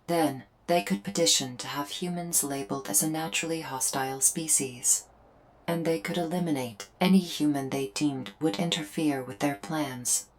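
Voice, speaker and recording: A woman plays a solemn alien invader woman with a low-pitched voice. She explains herself to a human in formal, carefully measured phrases, leaving deliberate pauses. It is a scripted ASMR roleplay with music playing faintly behind her. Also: lightly acted, low-quality recording. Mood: solemn, explanatory.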